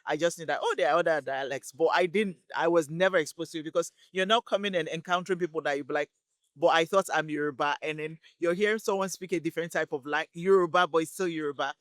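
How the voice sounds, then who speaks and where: A woman from Lagos, speaking as a guest in a relaxed interview. Her voice is smooth and clear, and the recording is close and dry. She talks thoughtfully, pausing now and then to find the right words. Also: low-pitched, no echo. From Lagos, Nigeria. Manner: thoughtful, unhurried, pauses to search for words. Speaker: guest, community relations worker. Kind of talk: casual interview chat.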